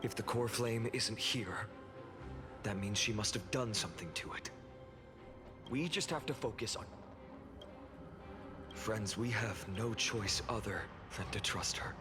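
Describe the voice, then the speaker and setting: A man's voice: medium pitch, close to an everyday voice. Man playing a grave, steadfast young warrior leader. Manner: solemn, measured, earnest. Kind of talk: dramatic video game voice acting.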